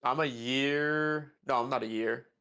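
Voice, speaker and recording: A man's voice: medium pitch, steady tone, a little slow, creaky, pushed and bright, clean tone. Young man bantering as a podcast co-host. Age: 20s.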